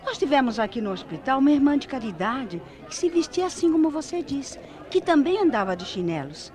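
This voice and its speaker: A knowing Brazilian hospital colleague. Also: female voice, high-pitched, very animated, a little fast, darkish tone. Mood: gently revealing. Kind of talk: 1990s Brazilian radio mystery drama acting.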